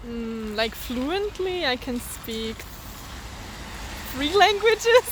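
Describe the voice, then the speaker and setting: A woman's voice: high voice, sing-song, drawn-out words, a bit bright. A young woman from Switzerland, a native Swiss German speaker, chatting casually as a student interviewee. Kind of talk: casual chat. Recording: noisy outdoor urban ambience.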